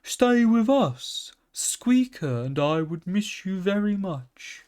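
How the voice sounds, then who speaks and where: A man doing a gentle little girl calf. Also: high-pitched, very animated, slow, clear, resonant tone, pitched above the performer's own voice, exaggerated voice. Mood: pleading.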